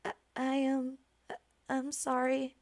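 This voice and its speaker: A woman voicing a timid, apologetic young maid who speaks softly, stammering and pleading hesitantly. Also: high-pitched, completely flat delivery, a little slow, vocal fry, voice pushed up. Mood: meek.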